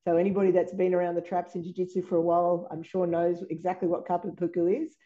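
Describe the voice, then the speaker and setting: A woman's voice: lowish voice, level tone, quick pace, warm tone, muffled recording. A woman hosting a podcast, introducing her guest in a casual, chatty way. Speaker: podcast host.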